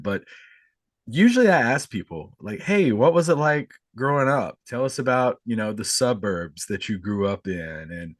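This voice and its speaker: A man hosting a podcast, relaxed and conversational, introducing his guest. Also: fairly low pitch, no echo, studio quality. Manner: relaxed, conversational, easy fillers. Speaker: podcast host. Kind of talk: casual interview.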